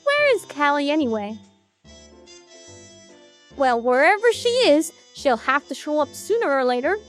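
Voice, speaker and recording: A woman's voice: sits high, sing-song, a little slow, faint music behind. A woman in an animatic audio drama playing a resentful, sardonic young idol who is bossy and blunt. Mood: playful, indignant. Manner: blunt, sarcastic, swearing.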